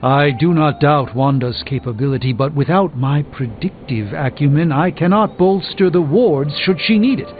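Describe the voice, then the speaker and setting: A proud, learned sorcerer played by a man speaks in formal, elaborate sentences with dry wit and a fairly high male voice. It is video game dialogue, heard through a thin, narrow-band recording with music clearly behind it. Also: normal speed, hardly any pauses, natural voice. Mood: grave, urgent. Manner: formal, wordy, self-important. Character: proud, erudite male sorcerer, dryly witty. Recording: thin band-limited audio under loud music.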